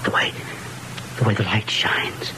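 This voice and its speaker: A man playing a weary, uneasy husband in an old-time radio horror drama, speaking in a halting, tense way. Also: in a whisper, natural voice. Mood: horrified, hushed.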